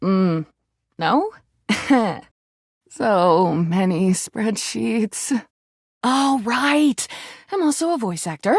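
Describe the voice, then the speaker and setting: A man's voice: sits high, lively intonation, extremely slow, pauses often, husky, no room sound, studio quality, full character voice. A man voicing a hyperactive goofball game mascot, campy and theatrical, full of playful silliness. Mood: flustered, chatty.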